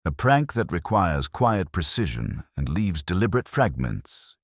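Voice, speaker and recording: A man voicing a composed, shrewd police inspector in a mystery story, murmuring softly and deliberately. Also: low voice, big pitch swings, breathy edge, dry recording, studio quality, full character voice. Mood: dry, pensive.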